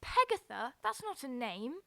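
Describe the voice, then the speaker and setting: A woman's voice: sits high, sing-song, moderate pace, vocal fry, a bit bright, no room sound, heavily acted. A woman playing a reserved, dry-witted young evacuee girl in a wartime audio play. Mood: dry, sardonic.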